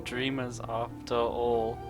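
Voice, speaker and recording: A woman's voice: a bass voice, level tone, slow pace. A woman doing casual game playthrough commentary and reading dialogue aloud. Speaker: gamer playing a story quest. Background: game music.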